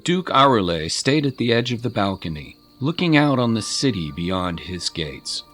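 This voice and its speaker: A man's voice: on the low side, sing-song, normal speed, a bit bright, soft background music. A man narrating a scripted dramatic story in the manner of an audiobook.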